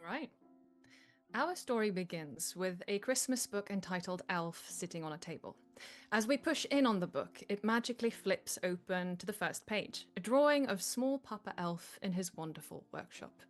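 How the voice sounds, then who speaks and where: A woman's voice: medium pitch, lively intonation, moderate pace, soft background music. A woman reading stage directions as the narrator of a live script table read.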